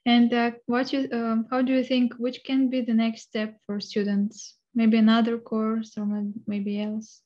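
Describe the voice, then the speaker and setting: A woman interviewer with a fairly high but flat, mellow voice asks brief questions. The sound is dull and lo-fi. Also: a little slow, hardly any pauses.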